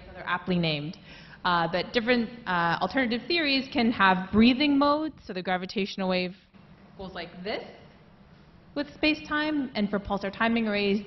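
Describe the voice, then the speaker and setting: A woman on a panel in a lecture hall, giving a clear explanation while gesturing. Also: mid-range voice. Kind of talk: panel discussion follow-up. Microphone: handheld mic. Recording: muffled hall recording with faint noise.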